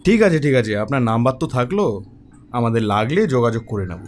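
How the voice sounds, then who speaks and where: An actor playing a curt office manager who brushes off a job seeker in an animated story. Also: male voice, medium pitch, fast talker, slight background noise, close to an everyday voice. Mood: dismissive. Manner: dismissive, brushing off.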